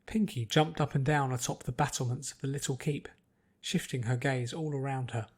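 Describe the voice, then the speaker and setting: A man's voice: mid-range voice, fairly even intonation, normal speed, husky. A man reading scripted story narration as an audiobook narrator.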